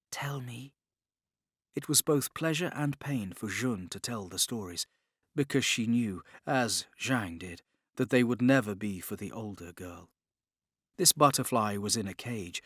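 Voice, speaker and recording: A man's voice: mid-range voice, dry recording, studio quality. A man reading scripted dramatic narration as an audiobook narrator.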